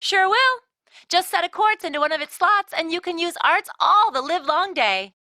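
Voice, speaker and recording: A woman's voice: extremely high pitch, animated, normal speed, bright, forceful tone, dry recording, studio quality, exaggerated voice. A woman playing a breezy, teasing academy instructor in a video game, explaining in a chirpy, casual way. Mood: breezy, upbeat. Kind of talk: English video game voice acting.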